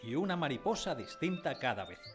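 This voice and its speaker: A man's voice: a little high, very animated, fairly quick. An actor narrating a radio drama as a man recalling his village childhood, wistfully, in a literary style. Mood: nostalgic. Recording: music behind the voice.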